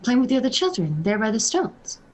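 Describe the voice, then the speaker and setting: A woman playing a practical, stubborn farm wife in a radio play, brisk and scolding. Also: on the high side, sing-song. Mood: unconcerned.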